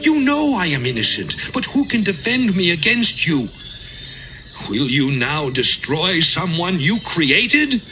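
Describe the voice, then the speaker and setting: A man's voice: high-pitched, full character voice. A man acting an anguished, suffering man who pleads with God in a dramatised Bible audio production, with impassioned, lamenting delivery.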